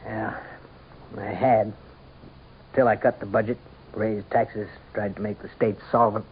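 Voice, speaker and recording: An actor playing a weary, principled governor and husband in a radio drama, speaking earnestly and with resignation. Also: male voice, on the low side, level tone, measured pace, close to an everyday voice. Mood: resigned. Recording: muffled, noisy old radio broadcast.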